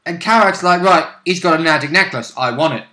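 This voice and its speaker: A man's voice: a little high, brisk, bright, forceful tone, slight background noise. A man chatting casually as a player, chiming in to recap a tabletop role-play game. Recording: roomy, echoing home recording.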